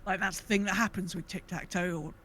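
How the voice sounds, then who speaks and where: Bright-voiced British woman, animated storyteller. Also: medium pitch, a little fast. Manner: animated storytelling, acting out her own reactions. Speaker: podcast host. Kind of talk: casual gaming podcast chat. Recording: clear background noise.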